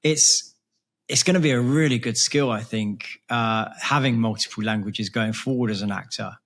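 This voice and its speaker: A man's voice: medium pitch, normal speed, fairly bright tone. A man talking casually as an interviewer and co-host.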